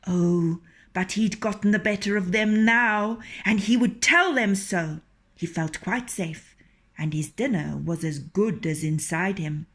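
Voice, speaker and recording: Woman storyteller, reads a fable aloud very slowly, mid-pitched, expressive. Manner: expressive, unhurried. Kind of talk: reading a children's story aloud.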